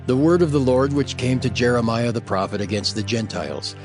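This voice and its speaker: A man narrating scripture in a formal, measured and solemn audio Bible style. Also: medium pitch. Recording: prominent music under the narration.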